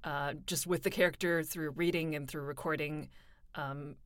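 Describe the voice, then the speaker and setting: A woman's voice: low voice, fairly even intonation, moderate pace, no room sound, studio quality. A young adult woman, a voice actor, chatting casually in an interview, laughing often and poking fun at herself. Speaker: voice actor guest.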